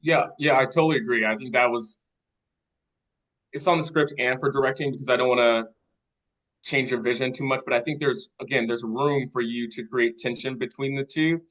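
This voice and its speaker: A man's voice: mid-range voice, fairly even intonation, fairly quick, a bit bright, poor audio. A man agreeing and offering script feedback in a group discussion.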